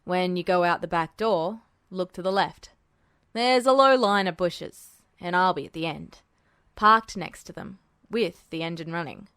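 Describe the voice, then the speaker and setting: Woman doing a low, smooth, gruff policeman. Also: a little slow, fairly bright tone, no echo, studio quality. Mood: hushed, wry. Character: gruff, wry, kindly male police officer and father. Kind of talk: fan fiction audiobook character voice.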